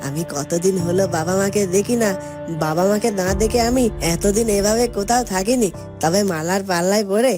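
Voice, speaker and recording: A woman's voice: medium pitch, brisk, close to an everyday voice. A woman voicing a homesick, hurt young husband in a fairytale cartoon, musing aloud plaintively over background music. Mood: wistful. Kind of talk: children's fairytale cartoon voice acting. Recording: music under the voice.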